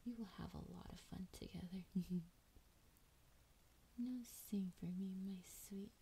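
A woman playing a lonely, ancient female dragon, tender and teasing, in a breathy, intimate whispered ASMR roleplay. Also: medium pitch, warm tone, heavily acted. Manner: slow, coaxing, affectionate. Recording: clear background noise, ambience.

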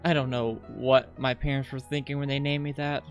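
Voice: medium pitch, level tone, brisk. A streamer reading game text aloud with casual asides, stumbling over words now and then. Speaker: host of a visual novel playthrough. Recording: music behind the voice. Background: game music.

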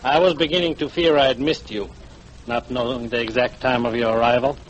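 An actor playing a sly, florid middle-aged guide, ingratiating and pompous, in 1940s radio style. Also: male voice, medium pitch, steady tone, a little fast, dull audio, close to an everyday voice. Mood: oily, eager. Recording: noisy 1940s radio broadcast.

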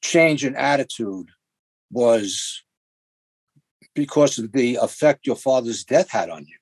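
An older man hosting a podcast interview over a video call from his book-lined study, asking measured, leading questions. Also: medium pitch, husky, no echo. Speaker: podcast host. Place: home study with bookshelves. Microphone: video call webcam.

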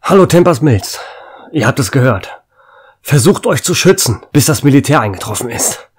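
A man's voice: mid-range voice, sing-song, normal speed, breathy edge. A man playing a doomed small-town radio host. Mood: urgent. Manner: urgent broadcast announcing, turning quiet and resigned. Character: male small-town radio host Gary, facing doom. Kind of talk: German fan radio drama acting.

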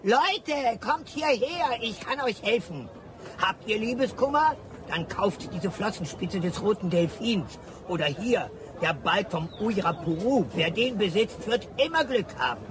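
An old blind market woman played by an actor in a radio play, hawking charms and beckoning people over. The voice is very lively in pitch, with faint outdoor ambience behind it. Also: unhurried, background noise, natural voice. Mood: beckoning, salesy. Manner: calling out wares, beckoning.

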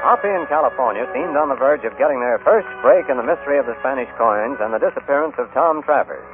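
A man's voice: mid-range voice, animated, fast talker, music in the background. An American man narrating a serial in scripted old-time radio style. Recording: muffled old radio broadcast.

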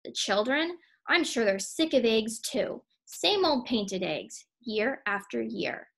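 A woman's voice: a little high, very animated, slow, creaky, a bit bright, husky, exaggerated voice. A woman voicing a cranky, proud male hare artist in a storybook, huffy and emphatic. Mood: fed up. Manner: huffy, emphatic, self-important.

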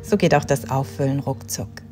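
A woman in her thirties calmly narrating a home cleaning routine in a voice-over, giving step-by-step tips straight to the viewer. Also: very deep voice, moderate pace, soft background music. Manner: calm, instructive, talks directly to the viewer. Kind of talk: calm scripted voice-over narration.

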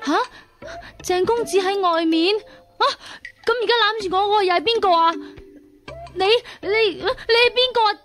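A frightened young woman in a Hong Kong Cantonese radio drama. Her voice is extremely high and plaintive, full of pleading exclamations over faint music. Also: normal speed. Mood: alarmed.

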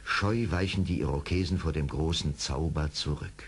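A man narrating a radio play as a dramatic storyteller, with a husky voice. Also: extremely low pitch, moderate pace.

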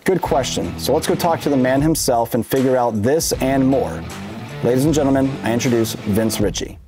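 A man's voice: medium pitch, normal speed. A man reading a dramatic scripted voice-over introduction. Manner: dramatic announcer phrasing. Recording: voice-over over loud music.

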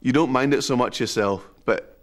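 A man's voice: medium pitch, moderate pace. A man chatting casually as an interviewee, telling anecdotes in a playful, amused way.

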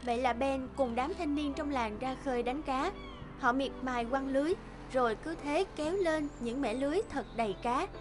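A woman's voice: high-pitched, normal speed, background noise. A woman reading scripted fairy-tale narration as the narrator of a cartoon. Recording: music bed behind the voice. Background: background music.

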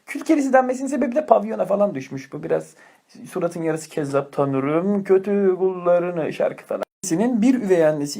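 Slangy comic storyteller with mid-pitched voice. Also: warm tone, husky. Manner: colloquial, mock-scolding asides.

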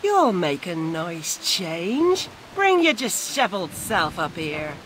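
An actress playing a foul-mouthed, defiant brothel madam with a working-class English accent in a video game. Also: female voice, lowish voice, big pitch swings, slow, fairly bright tone, heavily acted. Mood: coaxing. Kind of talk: video game character acting.

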